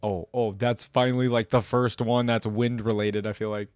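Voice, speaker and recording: A man's voice: fairly low pitch, level tone, moderate pace, darkish tone, clean tone, no echo. Man, casual reaction host, thinking aloud, dry close sound, music behind.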